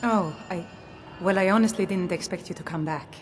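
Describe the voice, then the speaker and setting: An actress playing a harried, reluctant temple priestess and healer in a fantasy video game, weary and brusque. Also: female voice, fairly low pitch, a little fast, natural voice. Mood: hesitant, businesslike. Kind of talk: scripted video game dialogue. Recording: game dialogue under background music.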